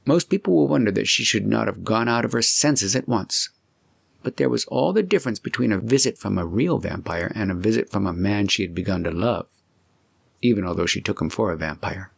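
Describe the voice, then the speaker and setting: A man narrates a storybook with deliberate expression. His voice sits on the low side and carries a clear gravelly creak. The delivery is that of a scripted audiobook reading. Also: expressive, no echo.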